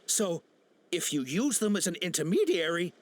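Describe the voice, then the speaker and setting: A jittery captured smuggler with a high, strained voice, stumbling over his words and piling up nervous uhs. Faint game music runs underneath. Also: sing-song, normal speed, slight background noise. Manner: stammering, full of uh and nervous restarts. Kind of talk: game cutscene voice acting.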